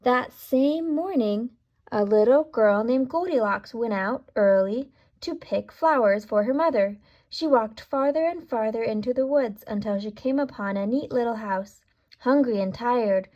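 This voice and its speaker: Lady doing a kids read-aloud, real slow n dramatic, talks to the little listeners, bit muffled. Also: a little high, a little mellow. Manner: deliberate, dramatic emphasis, prompting questions. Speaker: storyteller for young children. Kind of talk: expressive children's read-aloud with asides to listeners.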